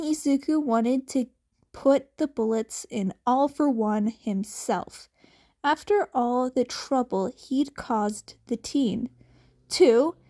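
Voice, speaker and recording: A woman with a fairly high voice narrates a story. Her pace is very slow, and she reads the scripted fiction expressively, like an amateur audiobook. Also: mellow.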